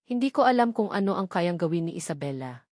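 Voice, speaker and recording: Woman voicing a gentle, anxious Filipino mother. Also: on the low side, big pitch swings, fairly quick, no room sound, studio quality. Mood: afraid. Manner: soft, anxious. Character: gentle, fearful young mother.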